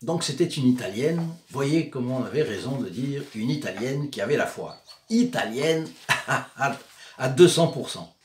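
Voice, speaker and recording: A man's voice: medium pitch, lively intonation, normal speed, slight background noise. A man with a Provençal accent preaching and retelling a story, digressive and hesitant, often repeating words. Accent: Provençal southern French. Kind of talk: sermon storytelling. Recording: echoey room.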